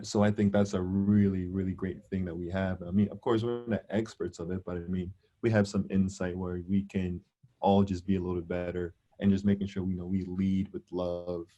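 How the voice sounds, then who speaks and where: A man who coaches a college team, answering sincerely in an interview with many halting pauses and fillers. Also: sits low, dark tone, muffled recording.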